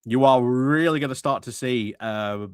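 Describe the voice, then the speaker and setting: A male commentator with a medium-pitched voice, recorded close and dry. He talks casually about politics as a host, leaning on key words and repeating himself for emphasis. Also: moderate pace, clean tone, no room sound. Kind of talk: casual political commentary over a played clip.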